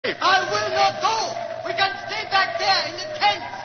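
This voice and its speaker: A man playing a frightened, stubborn, superstitious mountain porter in a 1940s radio drama, with a shrill declamatory delivery. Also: fairly even intonation, measured pace, over loud music. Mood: fearful, stubborn. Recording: dull, very noisy radio, crowd din.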